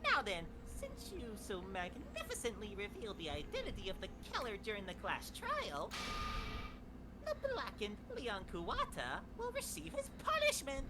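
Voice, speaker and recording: A woman's voice: fairly high pitch, wildly swooping pitch, moderate pace, poor audio. A woman voicing a gleefully cruel, mocking robot bear mascot from a video game, theatrical and sing-song. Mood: taunting. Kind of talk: fan voice acting of a video game.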